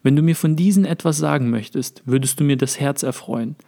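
A man reading aloud as a devoted friend who asks questions in a gentle, earnest way. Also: a little high, brisk, vocal fry. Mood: pleading. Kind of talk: read-aloud literary dialogue.

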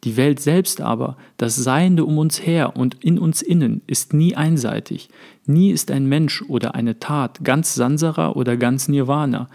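A man reading aloud as a wise, calm sage explaining his thoughts to a friend, in a measured, earnest way. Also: medium pitch, creaky. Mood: contemplative. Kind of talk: read-aloud literary dialogue.

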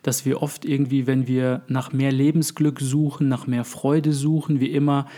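A man hosting a podcast, a little hoarse from a cold, explaining his ideas at length with many pauses and fillers. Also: medium pitch, level tone, warm tone. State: under the weather, voice a bit hoarse. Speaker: podcast host. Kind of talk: casual podcast chat.

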